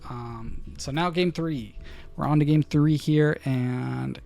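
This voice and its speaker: A man streaming a card game, thinking through his plays out loud to his chat and breaking into loud excited outbursts. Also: a little high, takes it slowly, vocal fry, faint music behind. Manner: muses over choices, bursts into loud excited laughs. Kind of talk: thinking aloud while playing, talking to chat.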